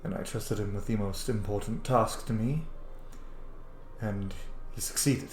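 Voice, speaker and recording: A man voicing a weary male emperor who speaks in a subdued, formal, measured way. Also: lowish voice, steady tone, a little hiss or hum. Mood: somber. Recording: roomy echo with faint music.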